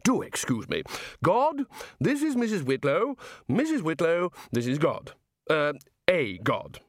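A man's voice: on the high side, wildly swooping pitch, a little slow, no echo, studio quality, pitched above the performer's own voice. A man voicing a bluff, hearty older male head wizard who blusters through introductions. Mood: blustering.

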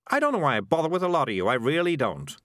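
A man's voice: fairly high pitch, wildly swooping pitch, fast talker, fairly bright tone, no echo, studio quality, pitched above the performer's own voice. A man voicing a cynical, bossy talking animal schemer who lectures in a wheedling, condescending way in a storybook reading. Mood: cynical, exasperated.

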